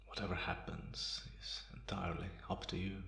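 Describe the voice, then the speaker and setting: Man playing a deep, gravelly, slow-talking vampire. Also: level tone, full character voice. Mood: quietly menacing. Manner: deliberate, softly chuckling, taunting. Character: calm, amused, menacing male vampire, once human. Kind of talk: ASMR roleplay, slow intimate monologue. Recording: roomy, echoing sound.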